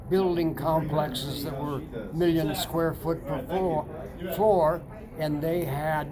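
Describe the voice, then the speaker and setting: A very old man speaks slowly from inside a sauna, with loud crowd noise behind him. His voice sits a little high for a man, and he halts often with fillers and restarts. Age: older. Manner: halting, many fillers and restarts. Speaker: sauna customer. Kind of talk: casual testimonial chat. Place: indoors, seated in a sauna.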